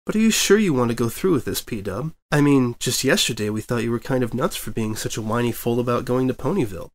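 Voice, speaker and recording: A man's voice: medium pitch, expressive, quick pace, lightly acted. A man voicing a meek, supportive young mare who works at a newspaper, in a fanfic audiobook reading. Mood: sweet.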